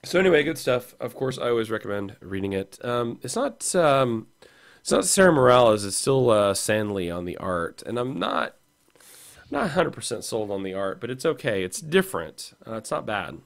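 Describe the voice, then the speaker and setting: A man with a slightly hoarse, rough voice, co-hosting a casual podcast chat about comics and rambling with fillers and restarts. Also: medium pitch, sing-song, normal speed. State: hoarse, losing his voice. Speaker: podcast co-host.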